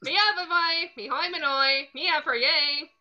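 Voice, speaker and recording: A woman voicing a manic male cartoon doodle monster, babbling loud nonsense syllables on slightly dull audio. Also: sits high, a little slow, voice pushed up, full character voice. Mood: aggressive glee. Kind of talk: animated cartoon table read.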